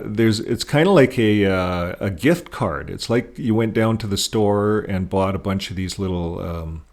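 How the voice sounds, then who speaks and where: A man with a lowish voice talks through what he is looking at in a casual, rambling way. He moves between reading aloud and commenting, thinking out loud as an online commentator. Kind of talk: casual commentary while reading from web pages.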